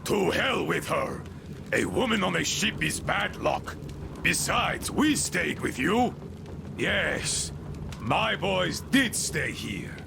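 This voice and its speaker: Gravelly, bitter old boat commander. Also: male voice, sits high, big pitch swings, takes it slowly, noisy background, heavily acted. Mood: bitter, accusing. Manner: theatrical, grumbling, rambling. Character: bitter, commanding, eccentric old boatman called Admiral. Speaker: game character, leader of a small river crew. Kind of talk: dramatic video game monologue.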